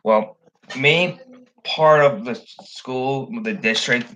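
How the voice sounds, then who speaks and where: A man's voice: medium pitch, slow, bright, forceful tone, poor audio. A young man from the Chicago area chatting casually on his fishing livestream, rambling with many ums and restarts. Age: young adult. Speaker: fishing channel host.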